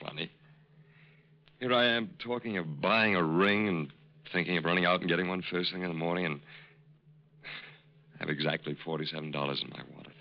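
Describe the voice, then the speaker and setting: An actor in an old radio drama playing a smooth con artist posing as a lovesick army major, halting and pleading. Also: male voice, sits low. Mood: bashful, scheming. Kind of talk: mid-century American radio drama acting. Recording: muffled, noisy old radio recording.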